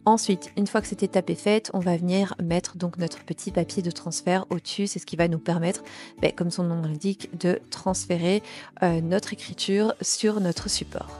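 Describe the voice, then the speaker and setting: A young woman whose first language is Portuguese, chatting casually through a crafts tutorial with many small fillers. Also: fairly low pitch. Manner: chatty, warm, many fillers like euh and ben. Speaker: DIY and family vlogger.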